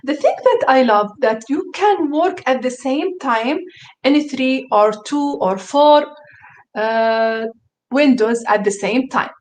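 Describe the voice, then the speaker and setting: Woman, native Arabic speaker, slow unhurried speech, hesitant with fillers, enthusiastic, screen-share tutorial. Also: high voice, animated, darkish tone. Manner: hesitant, many fillers and restarts. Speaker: teacher presenting.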